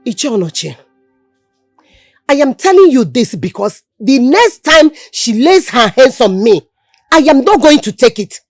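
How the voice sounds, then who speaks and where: A woman's voice: sits high, sing-song, a little slow, no echo, faint music behind, heavily acted. A woman with a Nigerian accent acting an indignant, scolding village woman in a film drama, firing off rhetorical questions. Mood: aggrieved.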